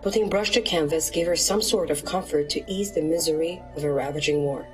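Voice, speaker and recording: Female narrator, slightly low voice, smooth scripted read, light music bed. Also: a little fast. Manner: even, polished voice-over delivery. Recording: soft music underneath.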